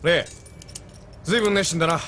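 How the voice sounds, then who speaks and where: An actor playing a cocky, hot-tempered young pilot thug with coarse, slangy speech in an audio drama. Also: male voice, fairly high pitch, fast talker, sharp tone, slight background noise. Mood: offhand, cocky. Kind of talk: Japanese audio drama acting. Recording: music behind the voice.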